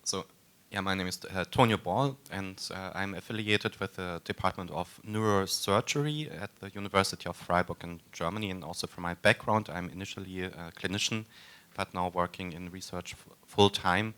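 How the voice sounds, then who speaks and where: A man introducing himself on a panel in a relaxed, hesitant and slightly wry way. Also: low voice, normal speed, smooth. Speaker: panelist, researcher.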